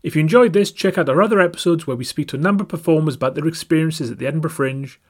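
A man reading a scripted podcast outro in a brisk manner. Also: fairly high pitch, slightly rough. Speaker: podcast host.

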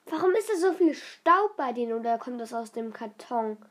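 Chatty woman reacting, slightly high. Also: lively intonation, moderate pace, fairly bright tone. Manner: chatty, questioning, hesitant with fillers. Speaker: reaction commentator. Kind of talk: casual reaction commentary over a video.

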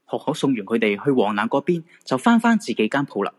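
A man's voice: mid-range voice, very animated, fast talker, close to an everyday voice. A man with a Hong Kong Cantonese accent narrating a supernatural radio drama as a storyteller. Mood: suspenseful storytelling.

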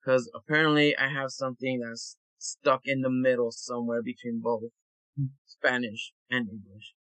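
A man, an actor, talking casually in an interview, relaxed and hesitant with frequent fillers. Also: mid-range voice, normal speed, dry recording, poor audio.

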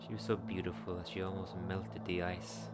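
Low-voiced, lovelorn young man in a radio play, acted by a man. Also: little pitch movement, brisk, lightly acted. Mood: tender. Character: lovelorn, blunt young man recalling a doomed romance. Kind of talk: poetic dark-comic radio play narration. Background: music.

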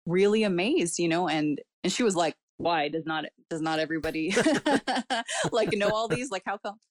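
A woman with a low voice whose first language was Cantonese tells her story as an interview guest. She talks reflectively, circling with fillers and laughing often. The sound is dry and close. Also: normal speed, dry recording. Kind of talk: casual personal interview talk.

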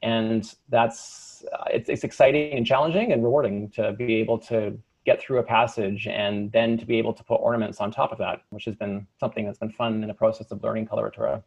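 A young man, an opera tenor, answering interview questions in a thoughtful, halting way with many restarts. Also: lowish voice, steady tone, gravelly, breathy edge, lo-fi sound. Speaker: opera singer, light lyric tenor. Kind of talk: interview conversation.

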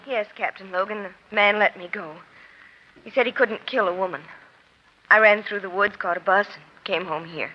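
A muffled old radio recording of a young stenographer played by a woman. Her medium-pitched voice is guarded and stubbornly defiant, acted as 1940s crime melodrama. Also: normal speed, close to an everyday voice. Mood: shaken, guarded.

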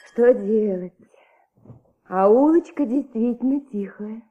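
An actress playing a commissioner's homely, easygoing wife in a radio play, on an old recording. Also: female voice, on the high side, slow pace, slightly rough, narrow, tinny audio. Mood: mild. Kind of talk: Soviet radio play acting.

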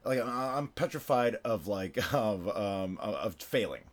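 A man's voice: fairly low pitch, animated, a little slow. A man from Venezuela who speaks with a Midwestern American accent, hosting a loose, casual conversation. He rambles through his thoughts, stacks up filler words and swears offhandedly. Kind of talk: casual chat.

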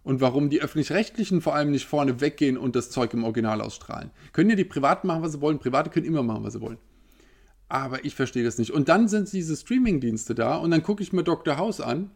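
A man in his thirties ranting in a casual, animated way, firing off rhetorical questions. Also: a little high, quick pace, creaky. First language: German. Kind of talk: casual opinion monologue.

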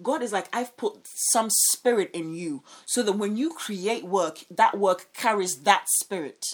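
A woman talking as a guest on a faith podcast, leaning on her points and repeating key phrases. Her voice sits at an ordinary middle pitch, and she keeps checking in with "do you know what I mean.". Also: a little slow. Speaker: fashion designer guest. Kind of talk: faith-based podcast conversation.

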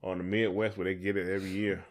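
A man's voice: low voice, flat delivery, rapid-fire. A man chatting casually and colloquially as a podcast co-host. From southern United States. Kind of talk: casual chat with spouse.

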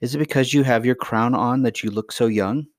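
Man voicing an eager little princess, pitched up. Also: brisk, no echo, studio quality, lightly acted. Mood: curious, polite. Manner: earnest, polite, eager questions and exclamations. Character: eager, polite little princess, curious and easily puzzled. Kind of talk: acted dialogue in a children's fairy tale reading.

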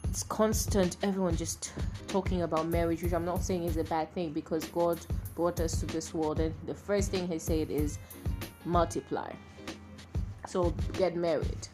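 A woman's voice: fairly low pitch, fairly even intonation, moderate pace, music in the background. A young woman from Tanzania with a Tanzanian accent, hosting a casual opinion monologue in an emphatic, opinionated way. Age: young adult. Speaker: podcast host.

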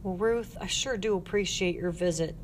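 A woman's voice: mid-range voice, moderate pace, natural voice. Lonely, earnest girl played by a woman, pleading audiobook character, noisy recording. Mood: earnest, sad.

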